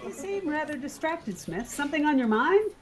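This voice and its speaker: A woman's voice: high voice, expressive, moderate pace. A woman playing a sly, inquisitive office colleague in an audio drama, arch and probing.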